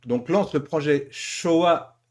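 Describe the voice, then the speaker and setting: A man's voice: fairly high pitch, takes it slowly. A man hosting a casual interview, speaking informally and often stumbling and correcting himself. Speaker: interviewer and host. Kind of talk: casual podcast-style interview.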